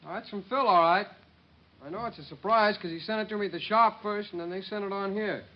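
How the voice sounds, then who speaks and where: An actor playing a good-natured young husband and dutiful son, playful and teasing, in an old radio drama. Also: male voice, sits high, fast, natural voice. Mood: curious. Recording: muffled, noisy vintage radio recording.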